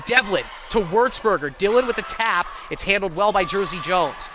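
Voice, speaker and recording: A man calling live college volleyball play-by-play in an excitable, self-deprecating way. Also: sits high, moderate pace. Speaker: play-by-play sports commentator. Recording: clear gym noise, bouncing balls. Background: indoor volleyball match ambience.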